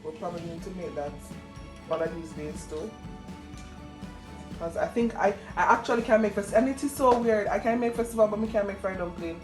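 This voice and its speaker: A woman's voice: medium pitch, fairly quick. A young woman with a Jamaican accent hosts a casual cooking vlog, chatty and self-deprecating, slipping into patois. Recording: music playing behind the voice. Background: music.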